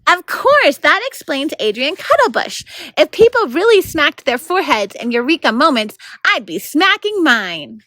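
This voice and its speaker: Woman doing a lively storybook read, high voice that swoops around, pretty dry sound. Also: normal speed, no echo. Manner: animated, acts out the narration. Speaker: volunteer reader of a children's novel. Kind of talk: expressive storybook read-aloud.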